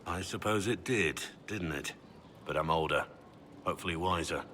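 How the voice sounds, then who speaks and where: A seasoned, wistful male warrior in a video game. His voice is very deep with a bright, forceful edge, and he tells things reflectively, with clear noise behind him. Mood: wistful, regretful. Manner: measured, reflective storytelling. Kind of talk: video game dialogue acting.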